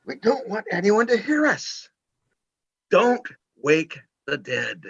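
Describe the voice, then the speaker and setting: Radio play role, male actor: playful, restless dad, slow and creaky, slightly dull recording. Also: high-pitched, big pitch swings, fairly bright tone, heavily acted. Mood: playful coaxing. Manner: coaxing, playful. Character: teasing, restless middle-aged husband and father. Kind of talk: amateur radio play acting.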